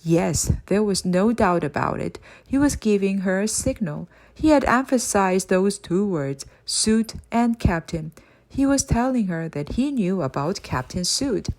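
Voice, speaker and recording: A woman narrates a children's story with expressive delivery. Her voice has a medium pitch, and she reads in a scripted audiobook style. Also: measured pace.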